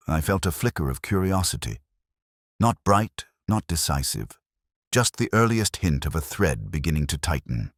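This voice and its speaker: A man playing an ageing, melancholy police inspector, narrating a detective story in a measured, literary, brooding manner. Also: rumbling low, no room sound, studio quality, heavily acted. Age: older. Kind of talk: first-person scripted detective story narration.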